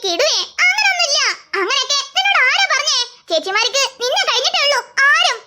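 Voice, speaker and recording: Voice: very high voice, very animated, fast talker. A performer doing a bossy big sister. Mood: coaxing. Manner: teasing, bossy. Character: bossy teasing older sister. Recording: loud background noise.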